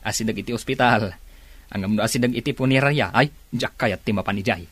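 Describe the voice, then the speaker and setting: A man's voice: mid-range voice, quick pace, a bit bright, breathy edge. A young man acting a chummy workmate in an Ilocano radio drama. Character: chummy young workmate, keen on food and girls.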